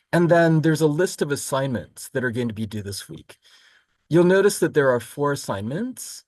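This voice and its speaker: Male college instructor from Florida, mid-pitched, relaxed, explanatory, lots of ums, dry close mic. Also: no echo. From Florida, United States. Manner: relaxed and explanatory, with frequent "um" and self-corrections. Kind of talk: recorded course overview lecture.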